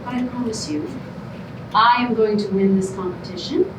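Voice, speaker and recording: A woman's voice: mid-range voice, moderate pace, natural voice. A woman playing an earnest, pleading contestant with careful, formal phrasing in a staged comedy reading. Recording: roomy, distant, noisy live stage recording.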